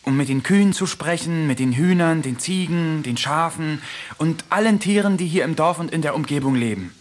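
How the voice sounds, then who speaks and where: An actor narrating as a dry, eccentric young poet. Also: male voice, a little high, lively intonation, normal speed, lightly acted. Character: eccentric young male poet, wants to talk with animals. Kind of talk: first-person diary narration in a radio play.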